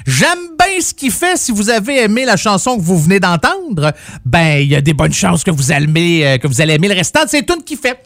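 A middle-aged Québécois man hosts a rock radio show. He chats loosely and playfully, with a fairly high voice. The sound is dry and close, with no room around it. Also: very animated, fairly quick, a bit bright, no echo. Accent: Québécois French. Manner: chatty, playful, rambling. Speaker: radio host. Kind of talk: casual music radio chat.

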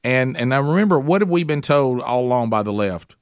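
A man hosting a talk show, giving casual political commentary in a halting way, with restarts and fillers. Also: medium pitch, expressive, clean tone, dry recording, studio quality.

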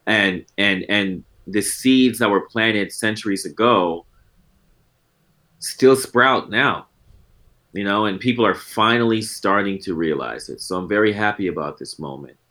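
A man's voice: fairly low pitch, unhurried, pushed and bright. A man in his forties talking as a guest in an interview, laid-back and reflective, often circling back on his thoughts. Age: 40s. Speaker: guest musician. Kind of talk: casual interview talk.

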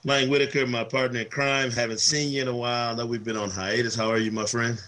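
A man co-hosting a sports podcast, a little hoarse from travel, telling stories enthusiastically in casual chat with lots of fillers. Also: mid-range voice, quick pace. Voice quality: slightly hoarse. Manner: animated, enthusiastic storyteller with many fillers. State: voice worn from travel. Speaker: podcast co-host.

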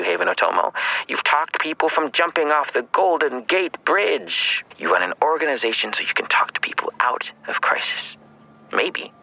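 Audio drama villain: taunting male killer, husky, hard-edged, animated, drops into sly whispers. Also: medium pitch, exaggerated voice, rough recording. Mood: probing. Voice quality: raspy, creaky, breaking into whispers. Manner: taunting, insinuating, probing. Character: menacing, taunting male killer who runs a dark-web market.

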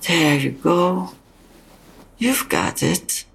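An actress playing a frank, consoling older mother near death in an audio drama, speaking slowly and haltingly with a husky, creaky voice. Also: on the low side, expressive, exaggerated voice. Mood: weary, insistent. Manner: slow, halting, probing. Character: dying mother, frank, consoling, stubbornly insistent.

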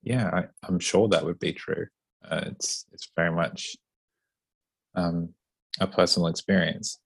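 A man hosting a casual interview, chatty and relaxed, with lots of fillers. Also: low-pitched, a little slow, creaky. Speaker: podcast host interviewing an author.

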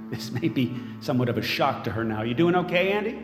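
Guy playing smug tech ceo giving a speech in an echoey hall, lively pitch swings, slick and controlling, audio drama. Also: brisk, over music, lightly acted. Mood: smug, cruel. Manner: polished, self-satisfied public speaker. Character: smug, controlling tech mogul unveiling his android. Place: large event room as staged, crowd behind. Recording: echoey hall with crowd behind.

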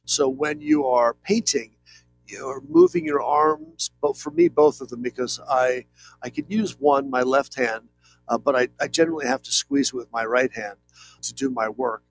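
A man's voice: mid-range voice, lively intonation, normal speed, vocal fry, low-quality recording. Late-50s male guest, earnest and rambling, plenty of fillers; faint background music. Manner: earnest, rambling, many fillers and "if you will". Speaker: interview guest, painter. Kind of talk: reflective conversational interview.

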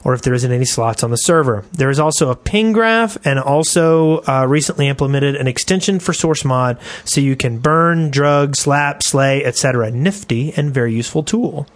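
A man's voice: medium pitch, moderate pace, hardly any pauses. A man co-hosting a podcast, chatting casually and reading listener email aloud. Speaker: podcast co-host.

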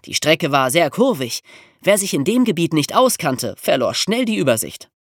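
Podcast host, amused colloquial storytelling, lively intonation, mid pitch, dry sound. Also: normal speed, dry recording. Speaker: podcast host telling true crime stories. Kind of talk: animated true-crime storytelling for young listeners.

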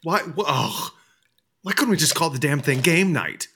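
Flustered man, bitterly sarcastic writer, halting, theatrical, widely swinging pitch, audio drama. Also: animated, sharp tone, breathy edge, exaggerated voice. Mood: bitterly dramatic. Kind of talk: naturalistic comic audio drama dialogue.

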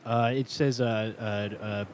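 A man co-hosting a comedy podcast as the dry skeptic, deadpan with many hesitations. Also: medium pitch, measured pace.

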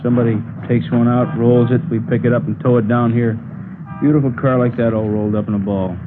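An actor playing an affable, folksy, talkative salvage yard owner in a 1950s radio drama. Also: male voice, medium pitch, fairly even intonation, fairly quick, lightly acted. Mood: chatty. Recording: noisy, phone-like vintage radio broadcast.

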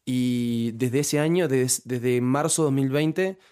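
A man's voice: mid-range voice, takes it slowly, warm tone, clean tone. A young man from Uruguay with a Uruguayan accent, a guest in a casual podcast interview who talks with many restarts and fillers. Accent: Uruguayan Spanish. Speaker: youtuber guest.

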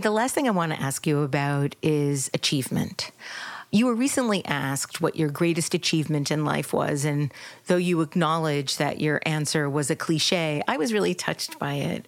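A woman's voice: low-pitched, moderate pace, no room sound. A woman over fifty, a podcast interviewer talking in a thoughtful, conversational style.

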